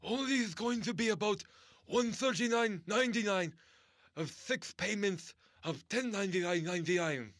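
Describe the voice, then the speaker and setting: Male vampire salesman, thousands of years old, high voice, halting, theatrical, comedy infomercial. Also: a bit bright, no room sound, studio quality. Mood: salesmanlike. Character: ancient male vampire product spokesman, world-weary salesman. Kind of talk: mock infomercial sales pitch.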